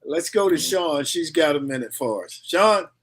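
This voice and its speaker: A man's voice: a little high, expressive, normal speed. Chatty man hosting a talk show. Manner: loose, chuckling, trails off into asides. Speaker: show host. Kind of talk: casual radio talk.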